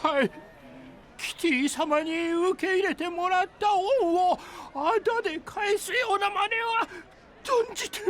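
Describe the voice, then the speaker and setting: A man's voice: very high voice, measured pace, fairly bright tone, a little hiss or hum. A man voicing a timid, humble merchant in an anime audio drama, hesitant and deferentially polite. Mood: humble, grateful.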